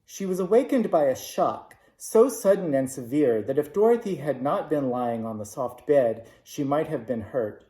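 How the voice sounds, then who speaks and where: A man reads a classic children's novel aloud in a measured, expressive way. His voice is mellow and relaxed. The room gives the recording a clear echo. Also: on the high side. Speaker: storybook reader. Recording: roomy echo.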